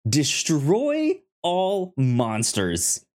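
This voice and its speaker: A man co-hosting a podcast in casual film discussion, halting and often repeating words and syllables as he goes. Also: a little high, wildly swooping pitch, darkish tone. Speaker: podcast co-host.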